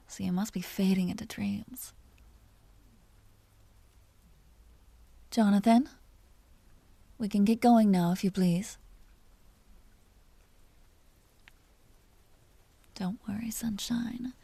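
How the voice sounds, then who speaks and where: A woman playing a seductive, possessive vampire duchess in an intimate audio roleplay, teasing and genteel in a breathy whisper. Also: medium pitch, dark tone, no room sound. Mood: tender, soft. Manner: slow, teasing, genteel and commanding.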